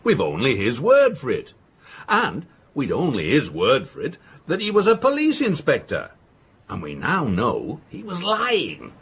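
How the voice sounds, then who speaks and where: Casting: older well-to-do patriarch, radio drama, blustering and argumentative, hugely animated intonation. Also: male voice, medium pitch, a little slow, slightly rough, heavily acted. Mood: suspicious. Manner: emphatic, dismissive, argumentative. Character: blustering, self-assured middle-aged father of a well-to-do family. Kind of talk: period stage-play radio drama acting.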